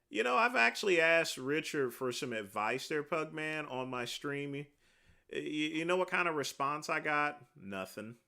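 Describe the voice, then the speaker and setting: Livestream host, man with a deep voice, animated, forceful delivery, rambling chat. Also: gravelly. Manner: rambling, answers viewer questions one by one with many uh fillers.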